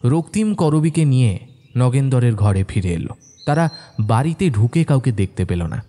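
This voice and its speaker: A man's voice: mid-range voice, sing-song, normal speed, dark tone. A man narrating a scripted horror story in a storyteller's delivery. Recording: music under the voice.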